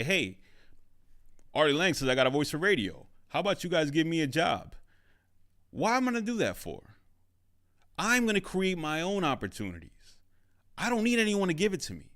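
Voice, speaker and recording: A man's voice: fairly high pitch, a bit bright. A young man hosting a solo podcast, talking emphatically and repeating his points for emphasis. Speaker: podcast host and studio owner. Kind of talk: motivational solo podcast monologue.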